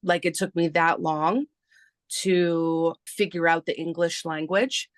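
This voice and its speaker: A woman in her thirties from Canada, a native Spanish speaker, talking slowly and hesitantly with many fillers as an interview guest. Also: lowish voice, steady tone, dry recording, clean studio sound. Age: 30s. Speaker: guest, artist and publisher. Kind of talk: casual interview talk.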